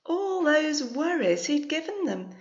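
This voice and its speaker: A woman reading a picture book to young children like a teacher, expressively, with chatty asides and gentle questions to her listeners. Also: high-pitched, very animated, measured pace. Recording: echoey room, slightly muffled sound.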